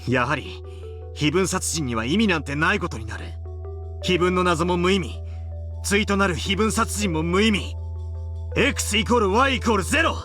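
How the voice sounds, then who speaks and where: An actor playing a brash young amateur detective in a visual novel, speaking in a rough, casual, dramatic way. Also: male voice, sits high, expressive, fast, bright, forceful tone, faint music behind. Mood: anguished, frustrated. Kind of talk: Japanese visual novel voice acting.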